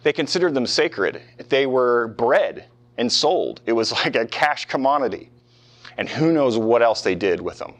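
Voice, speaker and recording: A man's voice: medium pitch, sing-song, unhurried. A man lecturing on history as a professor, talking conversationally with rhetorical questions and casual asides to his listeners. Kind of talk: informal classroom history lecture with questions to the students. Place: live talk in front of a small audience.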